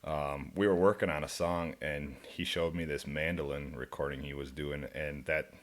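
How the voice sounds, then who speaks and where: A young man with a deep, low voice, a country musician guest in a studio, talking in a laid-back, rambling way and laughing often. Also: fairly even intonation, moderate pace. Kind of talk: casual interview chat. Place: radio studio.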